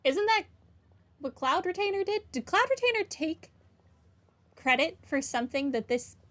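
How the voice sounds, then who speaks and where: High-voiced woman commenting on a game. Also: expressive, moderate pace, a bit bright. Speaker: gaming video host. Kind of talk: casual playthrough commentary. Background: game music.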